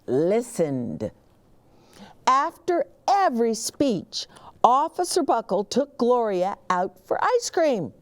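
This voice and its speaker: A woman hosting storytime, reading a children's picture book aloud expressively, with dramatic pauses and emphasis. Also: on the high side. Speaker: children's storytime reader and host.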